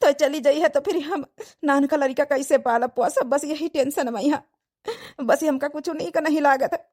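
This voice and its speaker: Woman playing a high-voiced sorrowful young widower. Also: normal speed, a little mellow, natural voice. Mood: grieving. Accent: Awadhi. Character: sorrowful, reluctant young widowed father in a village cartoon. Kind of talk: dramatic cartoon dialogue in dialect.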